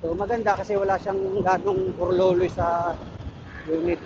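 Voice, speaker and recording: A man with a Filipino accent chatting casually and haltingly as a motorcycle vlogger, recorded on a wireless mic while riding. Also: high-pitched, little pitch movement. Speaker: motorcycle vlogger and ride-hailing rider. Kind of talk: casual motovlog chat. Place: outdoors on a motorcycle on city roads. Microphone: wireless Bluetooth mic while riding. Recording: dull wireless mic, loud traffic noise. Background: loud vehicle and road noise.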